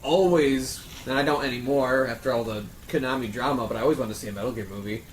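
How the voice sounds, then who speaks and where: A man's voice: mid-range voice, fast. A man talking casually about games and films in a group chat, rambling enthusiastically and swearing now and then. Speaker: podcast panelist. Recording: roomy echo, faint background noise.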